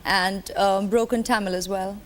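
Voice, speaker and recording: A young woman from India with an Indian accent, answering interview questions in a poised, polite way and laughing softly now and then. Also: mid-range voice, fairly even intonation, moderate pace. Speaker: guest, film actress. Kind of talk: casual interview chat.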